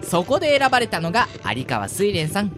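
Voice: medium pitch, big pitch swings, a little fast, a bit bright, faint music behind. A performer in an amateur radio drama playing a polite male rabbit guide who explains things in formal speech. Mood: earnest explaining.

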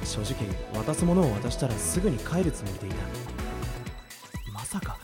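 A man's voice: mid-range voice, very animated, moderate pace, loud music behind the voice, lightly acted. A man playing an exasperated but caring teenage schoolboy in an anime-style radio drama, brusque and easily flustered. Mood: narrating wryly.

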